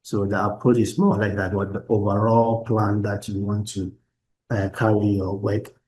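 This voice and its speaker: A man lecturing online from his laptop, explaining research methods in a halting way with many restarts. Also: on the low side, level tone, a little mellow, rough recording. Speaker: university lecturer. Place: at a laptop on a video call. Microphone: laptop microphone.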